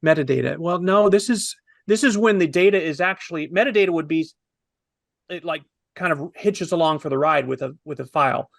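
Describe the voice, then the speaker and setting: A man in his fifties telling a story in a casual, animated review on a video call, wordy and posing himself questions. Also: a little high, brisk, gravelly, dry recording, studio quality. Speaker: film review panelist.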